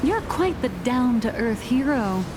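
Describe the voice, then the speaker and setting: A woman's voice: fairly high pitch, noisy background. A poised, worldly lady. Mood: calm. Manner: calm, measured, knowing. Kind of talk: video game voice acting. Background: music.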